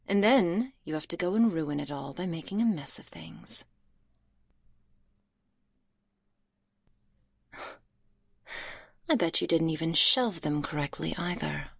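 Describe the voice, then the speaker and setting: A woman's voice: sits low, creaky, slightly rough, dry recording, heavily acted. A woman playing a stern, teasing, domineering school librarian, scolding sarcastically in an intimate, half-whispered ASMR roleplay on a close binaural microphone. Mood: mocking, teasing.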